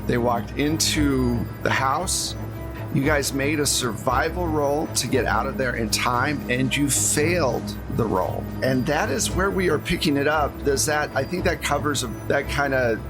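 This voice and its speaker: A man's voice: mid-range voice, a little slow, over music. A man narrating a tabletop roleplaying game as game master, in a casual, conversational style.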